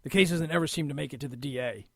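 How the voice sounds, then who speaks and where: An actor playing an impatient, commanding police lieutenant in an audio drama, gruff and demanding. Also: male voice, a little high, very fast, fairly bright tone, no room sound, clean studio sound. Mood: firm.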